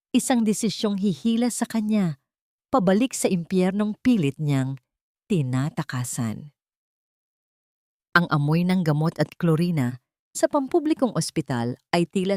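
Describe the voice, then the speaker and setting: A woman's voice: on the low side, darkish tone, no echo, studio quality. A woman giving a solemn, emotive scripted narration of a dramatic audiobook story.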